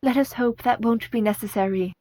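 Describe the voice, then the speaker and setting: An actress playing a devoted, steadfast young Victorian wife in a radio play, formal and tender. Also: female voice, on the high side, fairly quick, warm tone, dry recording, natural voice. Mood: tender, resolute.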